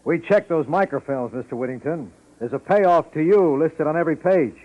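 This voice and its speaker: An actor playing a brisk, commanding police lieutenant in a 1950s radio drama. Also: male voice, fairly high pitch, close to an everyday voice. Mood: firm, triumphant. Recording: dull vintage radio recording.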